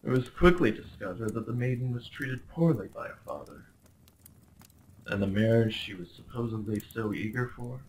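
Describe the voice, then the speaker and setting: A man's voice: on the low side, slight background noise, poor audio. A man playing a young, obsessive medieval prince in a dramatic ASMR roleplay, speaking in courtly, theatrical phrases with a possessive, menacingly tender undertone. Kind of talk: dramatic ASMR roleplay monologue addressed to the listener.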